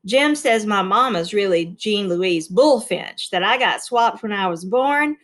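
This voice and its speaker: A woman's voice: medium pitch, expressive, a little slow, fairly bright tone. A woman reading a storybook as a frank, earnest young schoolgirl who protests in plain, folksy words. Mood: matter-of-fact. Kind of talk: read-aloud storybook character.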